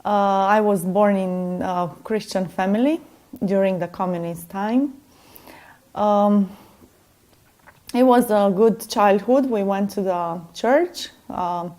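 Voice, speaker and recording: Woman, Romanian accent, slow warm testimony. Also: mid-range voice. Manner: gentle, pausing with ums. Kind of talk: personal testimony.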